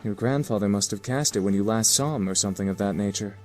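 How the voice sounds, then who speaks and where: Man playing a low-voiced, courteous demon. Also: fast talker, a little mellow, soft background music, lightly acted. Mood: explanatory. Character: polite, gentle male demon, reassuring and loyal. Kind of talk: visual novel voice acting.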